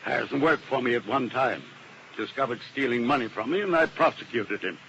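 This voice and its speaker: A faintly noisy old radio recording features an irritable American businessman with a medium-pitched male voice. He is brusque and domineering, barking curt statements like a man used to being obeyed. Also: brisk, gravelly, a bit bright. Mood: grimly certain. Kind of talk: 1950s radio drama acting.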